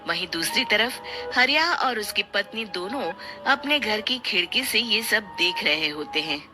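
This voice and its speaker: A woman's voice: medium pitch, expressive, unhurried, over music. A woman narrating a scripted story in a dramatic storytelling style.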